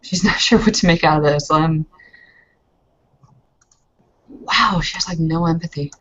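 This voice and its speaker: Woman, lower register, unhurried and halting, roleplay player in casual game talk, muffled lo-fi audio. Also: fairly bright tone, husky. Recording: dull, lo-fi voice recording.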